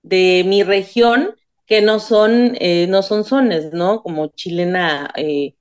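A woman's voice: medium pitch, slow, clean tone. Chatty woman singer, muffled sound. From Isthmus region of Oaxaca, Mexico. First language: Zapotec. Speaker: singer, radio guest. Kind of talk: radio interview.

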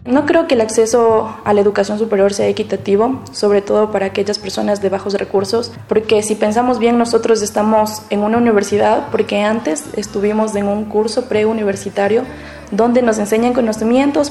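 A woman's voice: mid-range voice, fairly even intonation, a little fast, warm tone. Young student girl, earnest, echoey room, bit of music. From Ecuador. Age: young adult. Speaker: university student.

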